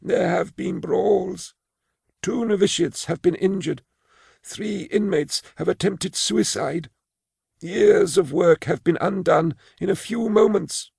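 Creaky, high, meek old-fashioned brother. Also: male voice, no echo, studio quality, full character voice. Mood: troubled, regretful. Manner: quiet, halting. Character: meek, troubled, apologetic male hospice brother.

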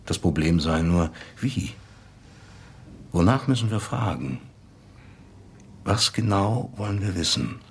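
A man playing a thoughtful acquaintance recalling a late friend in a measured, articulate radio drama monologue. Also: low-pitched, animated, a little hiss or hum, heavily acted. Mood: reflective.

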